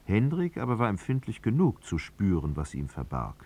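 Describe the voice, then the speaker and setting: An actor as the grave literary narrator of a radio drama, measured and solemn. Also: male voice, on the low side, wildly swooping pitch, warm tone, husky, heavily acted.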